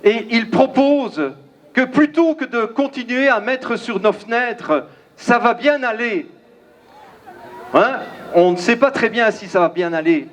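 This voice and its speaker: A man from Belgium with a Belgian French accent, giving an emphatic, declamatory speech at an outdoor rally and pausing often. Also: high-pitched. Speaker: rally speaker. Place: outdoor crowd gathering.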